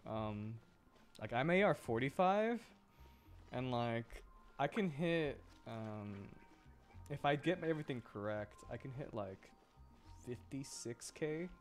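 Animated young-sounding male game streamer, lively pitch. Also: slow pace. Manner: giggly, animated, easily amused. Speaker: livestreamer, VTuber host. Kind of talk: casual game stream chat, reacting to play and chat. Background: game music.

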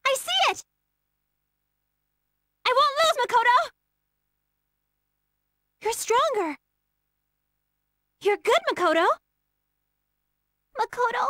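A woman's voice: very high voice, dry recording, clean studio sound, exaggerated voice. An actress playing an earnest, easily flustered young female military officer in emotional, dramatic video game battle lines. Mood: spirited. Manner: emotional, dramatic, swinging from polite pleas to shouts.

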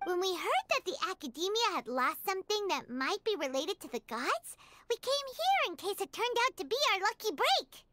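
A woman voicing a tiny, chirpy, inquisitive fairy companion in a video game, with a childlike, squeaky voice and an eager, excitable manner. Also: moderate pace, slight background noise, exaggerated voice. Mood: eager, curious. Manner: excitable, eager, nosy questioning. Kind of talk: scripted video game dialogue.

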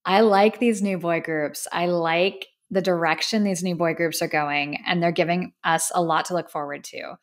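A woman's voice: fairly low pitch, steady tone, normal speed, few pauses. A woman in her thirties from the United States, hosting a solo podcast and giving her opinions in an earnest, emphatic, conversational way. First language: English. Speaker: podcast host. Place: indoor studio with a plain backdrop.

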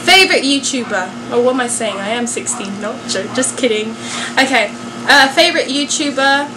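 Young woman, fairly high voice, casual chatty vlog, self-joking, clear background noise. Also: animated, normal speed. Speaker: vlogger and singer. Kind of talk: casual chatty question-and-answer vlog to viewers.

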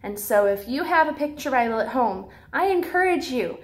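A young woman with an American accent talks to young children as their teacher. Her voice sits high and swoops expressively from word to word. She phrases things simply, in the animated manner of a read-aloud. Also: normal speed. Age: young adult. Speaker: preschool teacher. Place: small classroom, indoors.

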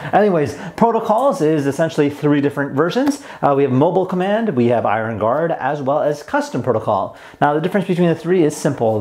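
A man's voice: on the high side, a little mellow. A young man hosting a casual product demonstration as a toy reviewer. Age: young adult. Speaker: tech toy reviewer and host.

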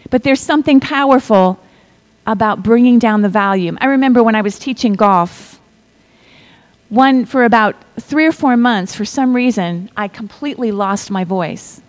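A middle-aged woman preaching a sermon, reading scripture in a measured way and dropping to a whisper for effect. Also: on the high side. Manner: slow, deliberate delivery with pauses and soft whispered stretches; asks the congregation to repeat lines.

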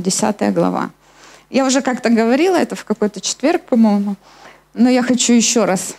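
High-pitched woman preacher. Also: darkish tone. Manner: explanatory, addressing listeners with questions. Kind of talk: sermon with scripture reading. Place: church hall stage at a lectern, indoors. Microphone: handheld microphone.

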